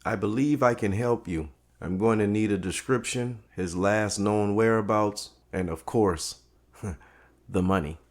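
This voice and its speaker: A man plays a guarded, streetwise neighborhood guy in a crime audio drama. His voice is very deep. He keeps things short, casual and slangy. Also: measured pace. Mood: confident, wry.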